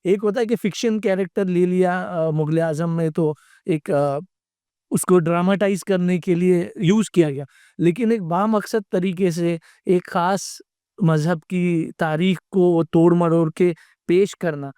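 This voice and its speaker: A man hosting an interview, putting his questions conversationally in measured, reflective phrases. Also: high-pitched, fairly even intonation, mellow, clean tone, no echo, studio quality. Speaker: interview host.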